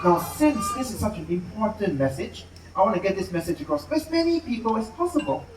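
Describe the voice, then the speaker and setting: High-pitched male show host. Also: big pitch swings, fast, music in the background. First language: Mandarin. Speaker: live animal show host. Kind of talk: animated stage announcing to an audience. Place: outdoor amphitheater at night. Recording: echoey amplified outdoor venue, distant, noisy.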